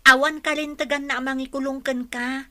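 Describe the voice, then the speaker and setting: A woman's voice: high voice, little pitch movement, a little fast, bright, forceful tone, dry recording. A woman with an Ilocano accent playing a frank, supportive friend in a radio comedy drama, outspoken and full of advice. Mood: encouraging.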